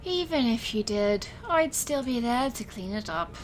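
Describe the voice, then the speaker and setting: Audio drama illusionist, played by a woman: weary yet resolute, wry, slow delivery, fairly high voice. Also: lively intonation, a little hiss or hum. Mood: wry teasing. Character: weary, resolute female illusionist and supernatural enforcer. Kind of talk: dramatic audio fiction acting.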